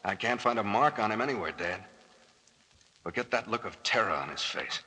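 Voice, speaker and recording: An actor playing a commanding, wry, tough captain who gives clipped orders and wisecracks in old-time radio style. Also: male voice, on the low side, lively intonation, brisk, bright, forceful tone. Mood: probing, low. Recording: noisy old radio recording with clicks.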